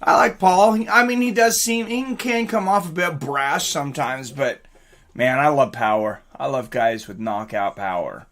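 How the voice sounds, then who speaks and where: A man's voice: medium pitch, normal speed, fairly bright tone. A man hosting a casual live reaction stream, chatting informally with viewers and commenting on fights. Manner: chatty, informal, greets viewers and reads chat aloud.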